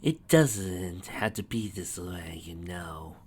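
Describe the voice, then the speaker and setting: A man voicing a menacing, mocking shapeshifter queen in a storybook reading, slow, breathy and taunting. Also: sits low, lively intonation, a little mellow, heavily acted. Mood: mocking menace.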